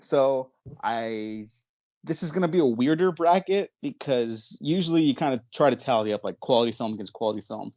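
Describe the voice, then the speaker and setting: A man hosts a movie podcast in a close, dry recording with an ordinary mid-range pitch. He is chatty and enthusiastic, rambling through his thoughts in an informal way. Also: lively intonation, a little fast, a little mellow, dry recording. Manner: chatty, rambling, enthusiastic, chuckles while talking. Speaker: podcast host. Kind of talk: casual movie podcast chat.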